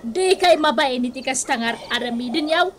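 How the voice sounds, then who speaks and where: Actress as a high-voiced, complaining village mother. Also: moderate pace, background noise, close to an everyday voice. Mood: nagging. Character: complaining village mother fed up with drunk husband. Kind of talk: Ilocano radio comedy drama acting.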